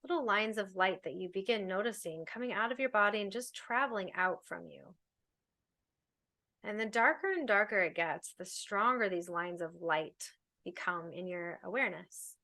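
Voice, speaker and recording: A woman teaches a guided meditation in a medium-pitched voice. She gives her instructions in a soothing, unhurried manner. She leaves space between phrases as she leads the listener along. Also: expressive, pushed and bright.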